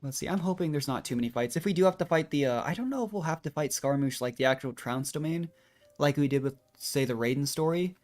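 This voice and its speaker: A man's voice: a little high, fast talker, darkish tone. Chatty man streaming a game. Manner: chatty, rambling, self-deprecating. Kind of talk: casual live game stream commentary.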